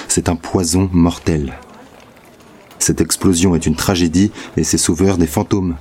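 Audio drama monologue: grave, disillusioned reporter, very low mellow voice, solemn and literary. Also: male voice, husky. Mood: grave, bitter. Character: Andreï, grave, disillusioned male journalist confessing truth.

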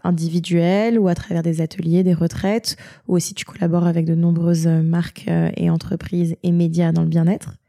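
A woman's voice: fairly low pitch, flat delivery, normal speed, gravelly, dark tone. A woman hosting a podcast, introducing and interviewing a guest. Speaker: podcast host.

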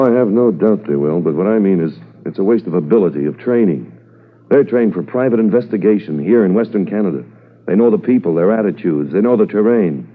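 Senior police commissioner, very low voice, world-weary, formal, 1950s radio drama, muffled narrow-band recording. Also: male voice. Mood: gloomy, earnest.

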